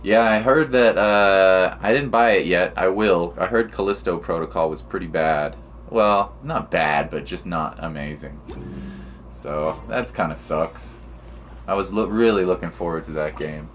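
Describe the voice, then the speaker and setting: A man with a low-pitched voice talks casually on a game livestream. His delivery is laid-back and slangy, like chatting with regular viewers. Faint music plays behind him. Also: a little slow. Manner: laid-back slangy talk with mild swearing. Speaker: game streamer. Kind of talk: casual livestream chat and gameplay commentary.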